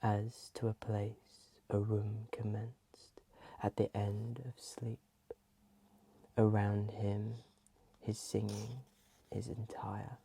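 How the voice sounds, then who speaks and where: Hushed, gravelly, low-key ASMR reader. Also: little pitch movement, takes it slowly, a little hiss or hum, poor audio. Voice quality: soft, breathy. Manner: hushed, often slipping into whisper. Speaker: ASMR video host. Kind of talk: soft-spoken ASMR poetry reading.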